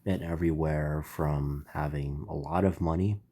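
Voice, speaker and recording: A man hosting his own channel, speaking with a stuffy, slightly nasal voice in a slow, reflective spiritual monologue. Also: very deep voice, fairly even intonation, mellow. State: stuffy, congested. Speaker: channel host.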